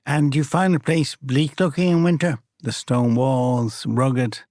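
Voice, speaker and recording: A man interviewing a guest, asking relaxed, easygoing questions. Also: medium pitch, measured pace, dry recording, studio quality. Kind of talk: conversational interview.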